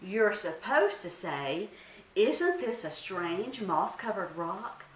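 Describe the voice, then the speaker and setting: A woman's voice: mid-range voice, very animated, slow pace. A woman voicing a lazy, sly male trickster spider in a storybook tale, scheming and insistent. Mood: exasperated. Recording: roomy, distant mic, faint hiss.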